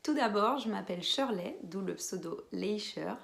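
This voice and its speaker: A woman in her twenties from French Guiana, chatting casually to camera as a beauty vlogger, with quiet whispered asides. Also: mid-range voice, expressive, moderate pace, vocal fry. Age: 20s. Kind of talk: casual vlog chat to camera.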